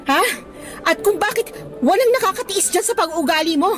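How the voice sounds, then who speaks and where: A woman's voice: extremely high pitch, animated, moderate pace, a bit bright, soft background music. An actress playing a principled young woman teacher with a Filipino accent in a Tagalog radio drama, earnest and scolding, switching into English at times. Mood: indignant, firm.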